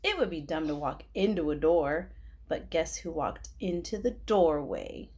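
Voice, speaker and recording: A woman reading a children's storybook aloud in an expressive style. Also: low-pitched, unhurried. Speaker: storybook reader.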